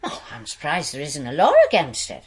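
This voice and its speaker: A woman's voice: low-pitched, animated, fairly quick, breathy edge, full character voice. An actress playing a gossipy, disapproving parish woman in a radio drama, musing and wry in a theatrical way. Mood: wry dismay.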